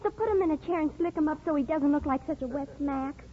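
Cheeky teen daughter female actor, high and breezy, flippant, old radio drama, muffled with clear noise. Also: quick pace, lightly acted. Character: sassy, slangy high-school daughter. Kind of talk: 1950s radio drama acting. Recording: muffled, noisy 1950s radio broadcast.

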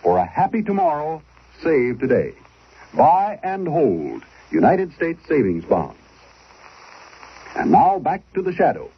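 A male announcer delivering a 1940s radio advertisement in a folksy, polished sales manner, with a husky voice. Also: on the high side, wildly swooping pitch, measured pace. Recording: muffled vintage radio recording.

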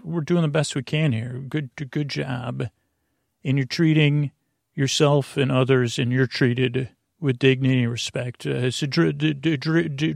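Creaky-voiced man rambling in a dry room. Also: medium pitch, dry recording. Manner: meandering, stammering, trailing off into tangents; whimsical, goofy. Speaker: podcast host. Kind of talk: rambling bedtime podcast monologue.

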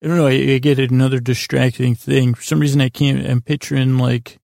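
A man with a heavily creaky, gravelly voice hosts a bedtime storytelling podcast. He meanders and halts as he goes, gently silly and self-deprecating. The recording is dry and close, made in a small closet. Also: mid-range voice, level tone, brisk, no room sound. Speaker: podcast host.

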